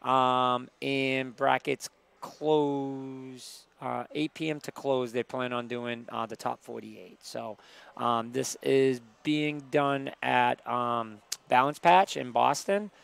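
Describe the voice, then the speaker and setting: Gravelly-toned man reading event details. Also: medium pitch, steady tone, slow, a bit bright. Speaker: livestream host. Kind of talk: casual livestream announcement.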